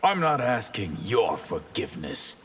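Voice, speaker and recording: An arrogant game sorcerer, low and imperious. His deliberate, defiant lines echo in a hall with clear noise behind them. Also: sing-song. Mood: haughty, defiant. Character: arrogant, defiant fantasy mage. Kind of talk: video game fight banter. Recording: reverberant hall, noisy game background.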